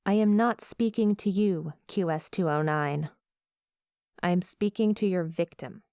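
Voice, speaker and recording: A woman's voice: lowish voice, a little slow, mellow, no room sound, studio quality. A woman playing a stern, cold scientist in an audio roleplay, speaking in a clipped, formal, clinical way. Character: stern, cold female scientist interrogating a monster.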